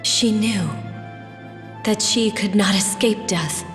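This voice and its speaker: A woman's voice: mid-range voice, slow, close to an everyday voice. Woman playing a sorrowful young princess in cavernous reverb. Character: young princess, earnest, sorrowful, tender and pleading. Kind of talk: scripted video game voice acting. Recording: roomy long reverb with music.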